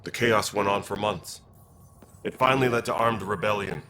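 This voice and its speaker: A man playing a weary, grim militia leader in a science-fiction game, speaking tersely and gravely with a gravelly voice. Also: lowish voice, normal speed, far from the mic, noisy background, lightly acted.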